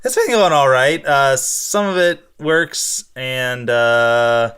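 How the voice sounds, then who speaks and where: A man chatting casually and playfully as co-host of a fan show, halting as he thinks aloud. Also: medium pitch, a bit bright, smooth. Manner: playful, improvised sock-puppet banter. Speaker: fifth and sixth grade teacher. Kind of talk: casual chat.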